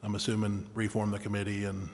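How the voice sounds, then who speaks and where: A man speaks in a fairly low, even voice, making informal remarks at a public meeting. The room adds a long reverb. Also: quick pace. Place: city council chamber.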